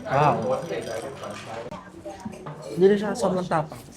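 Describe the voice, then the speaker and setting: A woman's voice: on the low side, animated, takes it slowly. A woman with a Filipino accent chatting casually and playfully in short remarks, as a travel vlogger. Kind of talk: casual vlog chat mixing Tagalog and English. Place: out and about in eateries and city streets. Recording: background music, crowd and street noise.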